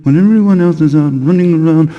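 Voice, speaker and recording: An actor playing a petty, self-important, resentful temple guard in a video game, whining and complaining to himself. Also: male voice, on the high side, fast talker, dull audio, lightly acted. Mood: disgruntled. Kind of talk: video game voice acting, muttered monologue. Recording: reverberant hall-like echo.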